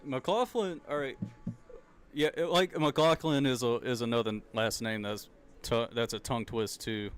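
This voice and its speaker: A man's voice: medium pitch, measured pace, smooth. A young man with a Southern US accent giving casual, chatty live commentary on a car race, with loose slang. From American South. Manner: chatty, loose, laughs often, says dude and like.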